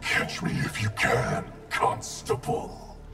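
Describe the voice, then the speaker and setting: An actor playing a menacing, arrogant undead wraith assassin in a video game, with a gravelly growl and a processed, ghostly sound. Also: male voice, slow pace. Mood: arrogant defiance. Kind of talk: video game fight intro dialogue. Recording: distant-sounding game audio under loud music.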